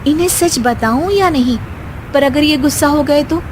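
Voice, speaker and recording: A woman's voice: high-pitched, normal speed, noisy background, natural voice. A woman voicing a dutiful, anxious young daughter-in-law in an acted cartoon story, meek and fretful. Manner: meek when answering, fretful when muttering to herself.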